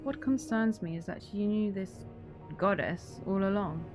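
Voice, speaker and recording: A woman plays an emotional, grieving widow in an audio drama. Her mid-pitched voice creaks noticeably, and music runs clearly underneath. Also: a little slow, close to an everyday voice. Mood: bitter, grieving. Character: grieving, wronged widow, sisterly, earnest.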